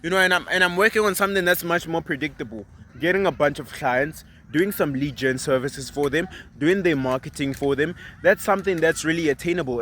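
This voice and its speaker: Bright-voiced animated young man. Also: a little high, slight background noise. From South Africa. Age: 20s. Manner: animated, emphatic, persuasive, slangy. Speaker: vlogger. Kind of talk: casual motivational monologue.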